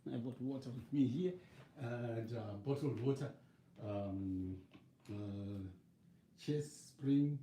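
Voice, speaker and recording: A middle-aged man with a Zimbabwean accent, an evangelist chatting on a live stream, slightly hoarse and rambling with many fillers and restarts. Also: medium pitch, slow, warm tone. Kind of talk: casual live-stream chat. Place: living room with a leather sofa and shelves. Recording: roomy, distant webcam sound with faint noise.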